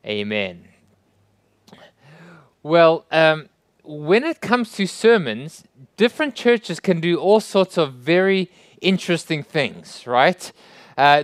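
South African pastor, clear dry voice. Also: male voice, fairly high pitch, no echo. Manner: friendly, joking asides, rising emphasis on rhetorical questions. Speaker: guest pastor. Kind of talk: sermon with opening prayer.

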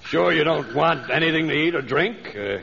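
An actor playing a sly, smug swindling stage magician and villain in an old radio adventure, theatrical, oily and taunting. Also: male voice, fairly high pitch, animated, moderate pace, vocal fry, bright, forceful tone, full character voice. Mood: smug, gloating. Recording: dull old radio recording.

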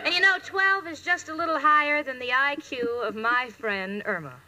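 A woman playing a sensible, wry, exasperated young roommate who narrates a 1940s radio comedy with crisp comic delivery. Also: high voice, normal speed, a loud crowd, very noisy, lightly acted. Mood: wry exasperation.

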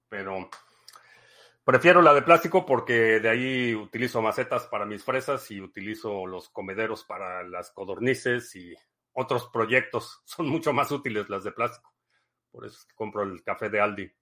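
Man, podcast host, casual rambling chat, lower voice, bright tone. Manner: relaxed and rambling, with many fillers and tangents. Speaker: podcast host answering listener questions. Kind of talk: casual live-stream chat.

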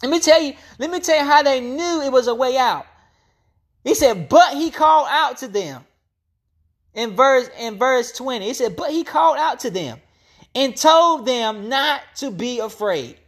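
A woman's voice: high voice, expressive, moderate pace. A woman preacher delivering an impassioned, off-the-cuff sermon, repeating phrases emphatically to build up her point.

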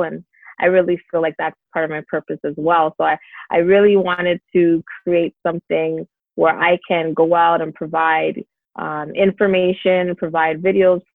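Gravelly woman on a tinny line. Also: lowish voice, no room sound. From Canada. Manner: earnest, upbeat, many "um" and "you know" fillers. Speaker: success coach, guest. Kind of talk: casual interview answers.

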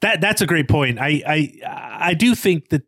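A man's voice: a little high, expressive, unhurried, fairly bright tone, no room sound. Man chatting thoughtfully about film, dry sound. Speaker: podcast co-host. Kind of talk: casual film discussion.

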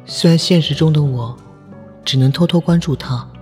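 A man's voice: a little high, normal speed, a little mellow, natural voice. A man playing a shy university student in love, earnest and dreamy in an audio drama. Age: young adult. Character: lovestruck shy male university student, secretly pining. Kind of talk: audio drama acting with inner monologue. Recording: voice over background music.

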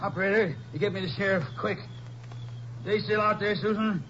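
Guy doing a drawling texas rancher, middle-aged, higher voice, dull hissy old radio sound. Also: a little fast, natural voice. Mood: worried. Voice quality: drawling, rural speech. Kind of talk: 1950s radio drama acting.

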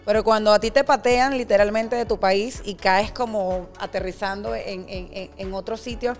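A woman being interviewed as an entrepreneur guest, speaking in a frank, emphatic and expressive way. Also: medium pitch, normal speed, soft background music. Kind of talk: interview conversation.

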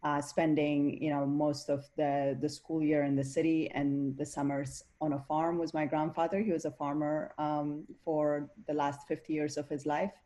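A woman's voice: low voice, little pitch movement, normal speed, hardly any pauses, warm tone. A woman from Ethiopia, a guest in an interview, speaking thoughtfully with many fillers. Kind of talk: reflective interview talk.

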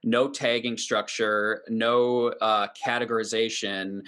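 A man's voice: on the low side, flat delivery, slow, pushed and bright, clear, resonant tone. A man acting as interviewer, speaking in a conversational questioning style.

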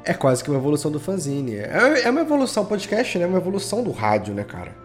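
A man's voice: fairly high pitch, wildly swooping pitch, racing, faint music behind. A Brazilian man in a casual interview chat, talking as a podcast guest in an enthusiastic, slangy, rambling way. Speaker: podcast guest, audio drama creator and editor.

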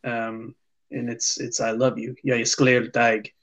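A young man co-hosting a laid-back podcast, speaking slowly at an ordinary middle pitch. He hesitates often, restarting sentences and filling gaps with "um" and "like". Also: little pitch movement, poor audio. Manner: halting, many fillers and restarts. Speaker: podcast co-host. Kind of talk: casual chat.

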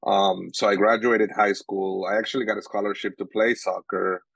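Venezuelan guy, young adult, native Spanish speaker, low-ish voice, dry close mic, halting and filler-heavy. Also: little pitch movement, vocal fry, a bit bright, breathy edge, dry recording, rough recording. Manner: halting, many fillers and restarts. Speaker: sports journalist guest. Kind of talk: casual interview answers.

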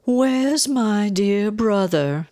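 A woman's voice: medium pitch, expressive, extremely slow, dark tone, no room sound, studio quality, pitched above the performer's own voice. A woman voicing a haughty, affected, wealthy socialite mother in a theatrical, swooning manner for a storybook. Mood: affected, condescending.

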